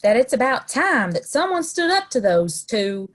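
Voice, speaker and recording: Woman playing a lively husky frontier townswoman. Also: fairly high pitch, normal speed. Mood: warm, earnest. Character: friendly, bold frontier townswoman, grateful and flirtatious. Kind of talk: audio play western dialogue.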